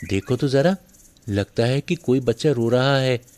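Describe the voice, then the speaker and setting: A man's voice: medium pitch, unhurried, a little mellow, lightly acted. A man playing a poor, kind-hearted younger brother, meek, earnest and pleading, in a moral story cartoon. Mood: concerned.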